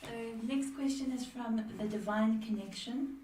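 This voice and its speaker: A woman co-hosting a livestream, reading viewers' questions aloud in a brisk, matter-of-fact way. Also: on the high side, warm tone, far from the mic. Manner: brisk, matter-of-fact, moves things along. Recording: echoey room, away from the mic, faint noise.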